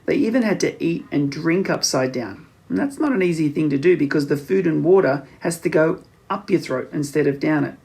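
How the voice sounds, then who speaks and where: A man reading a children's story aloud as an expressive scripted narration. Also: on the high side, a little fast, gravelly. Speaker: audiobook narrator.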